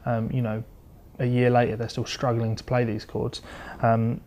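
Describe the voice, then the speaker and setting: A man's voice: mid-range voice, level tone, normal speed, darkish tone. A man giving a guitar lesson, explaining step by step in a conversational, instructional way. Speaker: guitar teacher. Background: electric or acoustic guitar playing.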